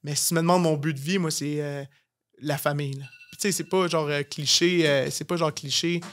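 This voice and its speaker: Fast-talking Quebec man, fairly high voice. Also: steady tone, fairly bright tone. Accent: Quebec French. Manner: informal, emphatic, sprinkles in English slang. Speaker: podcast guest, real estate agency owner. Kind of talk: casual podcast conversation.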